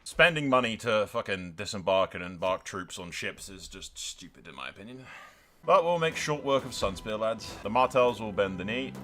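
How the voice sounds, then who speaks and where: A man's voice: lowish voice, lively intonation, moderate pace, sharp tone. A man giving casual, sweary game commentary, slipping into mock-grandiose role-play narration. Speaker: gaming video host.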